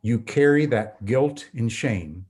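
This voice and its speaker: A man, a pastor and Bible professor, explaining scripture in an earnest, preacherly way, slowing and speeding up for emphasis. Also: mid-range voice, animated, a little mellow. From North America. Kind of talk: teaching conversation.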